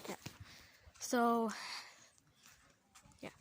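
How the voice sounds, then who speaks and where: A woman's voice: drawn-out words, slight background noise. A woman from Sweden with a Swedish accent, chatting hesitantly through a casual outdoor vlog at a campsite, filming on a handheld camera with lots of fillers. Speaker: vlogger. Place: outdoor campsite among trees.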